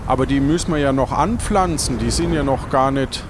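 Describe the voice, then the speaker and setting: A man playing along in an improvised adventure audio play in a casual, deliberate way. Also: mid-range voice, noisy background.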